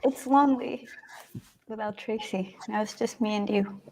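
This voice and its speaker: A woman's voice: mid-range voice, normal speed, a little mellow, a little hiss or hum, low-quality recording. A woman in her thirties co-hosting a casual live stream over a video call, chatting with restarts as she explains things. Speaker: co-host. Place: home room, indoors.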